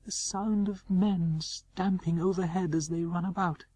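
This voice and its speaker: Entranced young woman, played by a male reader: slow, mellow, breathy, drifting, for a gothic story. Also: high voice, fairly even intonation, no room sound, pitched above the performer's own voice. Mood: entranced, faraway. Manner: slow, dreamy, trance-like. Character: entranced young woman speaking slowly under hypnosis.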